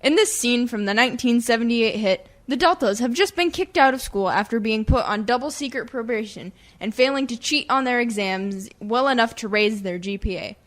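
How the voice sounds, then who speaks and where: A woman's voice: on the high side, normal speed, hardly any pauses, a bit bright. A woman reading a scripted comic introduction as the host of a radio play, in a mock-earnest way.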